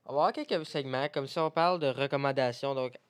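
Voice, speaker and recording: A young man with a French Canadian accent talks in a middling male register. He co-hosts a podcast in a relaxed, informal conversational style, as in casual chat. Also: mid-range voice, fast talker, smooth. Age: young adult. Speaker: podcast co-host.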